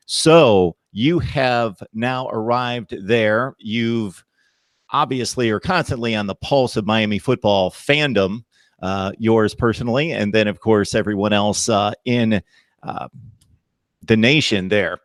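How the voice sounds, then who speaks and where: A man hosting a college football talk show, asking long, halting questions. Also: on the low side, dry recording, clean studio sound. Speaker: show host.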